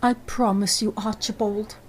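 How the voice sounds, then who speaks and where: A woman's voice: medium pitch, steady tone, measured pace, close to an everyday voice. An actress playing a grieving, devoted young woman who speaks earnestly and emotionally, in an old-time radio drama.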